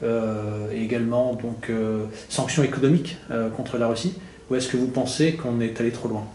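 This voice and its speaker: A man's voice: medium pitch, steady tone, moderate pace, a little mellow, reverberant, slight background noise. A man interviewing a guest, asking long questions hesitantly with many fillers.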